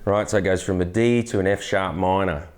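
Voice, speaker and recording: A man, teaching guitar in a relaxed, chatty way with plenty of restarts, on a lapel mic in a studio. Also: sits low, fairly quick. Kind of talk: casual guitar tutorial. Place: indoor studio, brick wall backdrop. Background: acoustic guitar playing.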